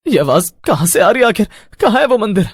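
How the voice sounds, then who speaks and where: A man playing a frightened, bewildered traveller lost at night in an animated horror story, anxious and hesitant. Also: high voice, a little fast, slightly rough, no room sound, studio quality, full character voice. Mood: uneasy.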